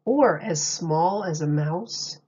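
A woman reading a picture book aloud as a teacher, with simple, deliberate phrasing and questions for young listeners. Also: fairly low pitch, darkish tone, muffled recording. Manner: simple, deliberate phrasing addressed to small children.

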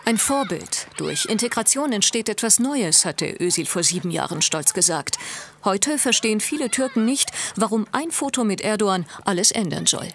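A woman's voice: mid-range voice, normal speed, slight background noise. A woman narrating a television news report in a scripted voice-over. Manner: even, clear reporting delivery.

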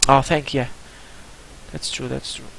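A man's voice: mid-range voice, flat delivery, unhurried, gravelly, fairly bright tone, low-quality recording. A young man from Portugal with a Portuguese accent, chatting casually as he streams a game. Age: young adult. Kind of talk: casual livestream chat. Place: indoor room. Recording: hissy, game audio behind. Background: video game dialogue.

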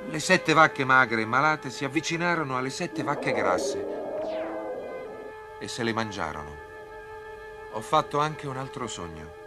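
A man's voice: medium pitch, animated, unhurried, long pauses, over loud music, natural voice. A man playing a commanding, troubled Egyptian pharaoh in a cartoon, speaking solemnly and declaiming. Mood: grave, narrating dreams. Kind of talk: dubbed cartoon acting.

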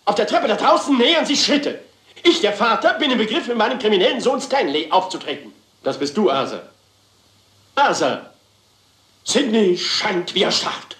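A man's voice: sits high, sing-song, normal speed, a bit bright, roomy sound. A man playing a self-important, flamboyant theatre director with a grandiose, declamatory manner in a German crime comedy. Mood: melodramatic.

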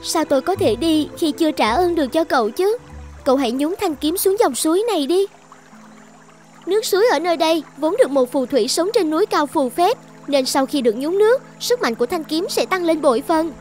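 A tiny talking fish from a fairy-tale cartoon, extremely high and childlike. The creature speaks in an earnest, plaintive, polite way, with faint music behind. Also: female voice, expressive, a little fast, a little mellow. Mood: grateful. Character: pleading, grateful little talking fish in trouble.

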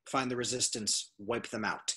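A man voicing a menacing masked warlord in a script read, cold, slow and commanding. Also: medium pitch, steady tone, sharp tone, breathy edge. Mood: imperious. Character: menacing masked male dark-side warlord, cold and imperious. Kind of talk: fan table read of a film script.

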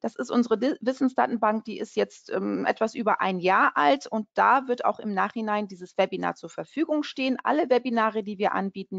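A woman's voice: medium pitch, gravelly, no room sound, dull audio. A woman from Portugal hosting an online webinar, chatting friendly over slides with many fillers and restarts. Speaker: webinar host, company country manager.

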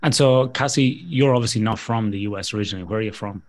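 Man hosting, lowish voice. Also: quick pace. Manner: chatty, joking, restarts often. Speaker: podcast host. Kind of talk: casual interview chat. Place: home office. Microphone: headset mic, video call.

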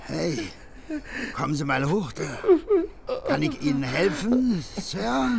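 A man's voice: a little high, very animated, slow, creaky, crowd noise, full character voice. An actor in a German radio play voicing a good-natured, tipsy working-class husband with a Berlin accent, rambling and slurring as he wheedles. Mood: bold, helpful.

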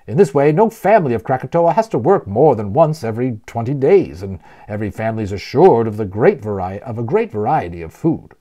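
A man reading a children's novel aloud as a storyteller, expressively and with deliberate emphasis on key words. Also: medium pitch, sing-song, mellow, highs cut off. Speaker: audiobook narrator and storyteller.

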